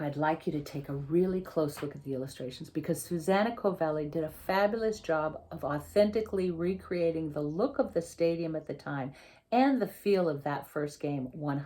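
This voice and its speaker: A woman with a low-pitched voice reads a picture book to children. She is an author presenting the work expressively, speaking straight to her young listeners and explaining things as she goes. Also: warm tone. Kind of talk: picture book read-aloud with explanatory asides.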